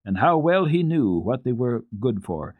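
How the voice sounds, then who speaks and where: A man reading a children's book aloud as a radio storyteller, in a measured, expressive way. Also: mid-range voice, no room sound, narrow, tinny audio. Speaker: storyteller, radio program host.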